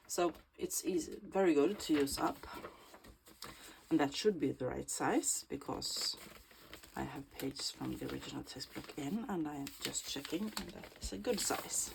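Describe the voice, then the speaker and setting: Slow Swedish-accented woman crafter, gravelly. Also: sits low, a little hiss or hum. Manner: slow, thoughtful, often drops to soft whispers while working. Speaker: bookbinding crafter. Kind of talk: casual craft tutorial.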